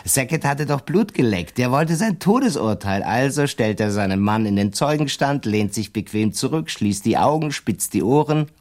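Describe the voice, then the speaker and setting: An actor playing a shrewd, smug, greedy lawyer in a German radio drama, with a theatrical, self-satisfied way of telling things. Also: male voice, medium pitch, animated, moderate pace, few pauses, darkish tone, lightly acted. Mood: gloating, amused.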